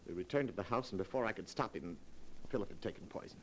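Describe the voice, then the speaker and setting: An actor playing a calculating murderer in a vintage radio drama, smooth and controlled. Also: male voice, fairly low pitch, fast, slight background noise, lightly acted, low-quality recording. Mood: composed. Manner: smooth and calculating, rising to hysteria. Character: cold scheming male murderer who cracks at the end. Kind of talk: 1940s radio acting.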